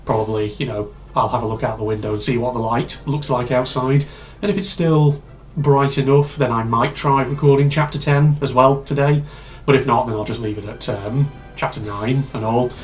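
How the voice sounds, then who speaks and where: A man hosting a casual reaction video, rambling and chatty with lots of fillers, his voice slightly hoarse and congested from a cold. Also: medium pitch, steady tone, moderate pace, hardly any pauses, soft background music.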